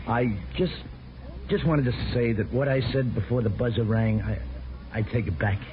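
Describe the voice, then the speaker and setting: An actor playing a meek henpecked husband, a reformed crook, with hesitant stammering speech in 1950s radio drama style. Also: male voice, mid-range voice, moderate pace, natural voice. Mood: sheepish. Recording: muffled, noisy 1950s radio recording.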